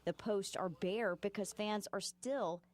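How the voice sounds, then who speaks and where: A woman's voice: mid-range voice, measured pace, a little mellow. A woman reporter reading scripted narration for a television news feature. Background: music.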